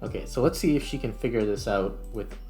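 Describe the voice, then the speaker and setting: A man's voice: lowish voice, a little fast, mellow, soft background music. A man hosting a tutorial vlog, talking casually and informally as he works through steps. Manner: informal, conversational. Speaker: video host.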